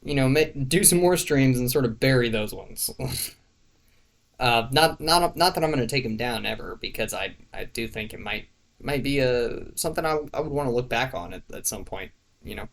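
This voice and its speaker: A man rambling to the camera in a relaxed, self-deprecating way, stopping often to hunt for the right word. His voice sits at a middle pitch with a lot of creaky fry. He fills the gaps with ums, likes and a casual swear. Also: normal speed. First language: not French. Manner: rambling, searching for words, frequent fillers and restarts. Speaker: YouTuber.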